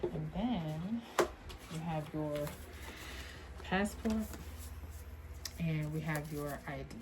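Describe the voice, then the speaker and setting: Woman playing a soft-spoken sarcastic airport agent, noisy recording. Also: deep voice. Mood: defensive, sassy. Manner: dry, sarcastic, unhurried muttering. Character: rude, sarcastic female airport security agent, dry and suspicious. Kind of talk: soft-spoken ASMR roleplay.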